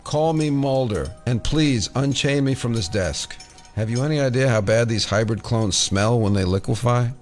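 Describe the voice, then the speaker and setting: A man acting as a sardonic federal agent in a dramatic audio play. His voice is mid-pitched, and he talks with dry, exasperated wit over faint music. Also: moderate pace, darkish tone, natural voice. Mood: angry, indignant. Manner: wry, dry sarcasm.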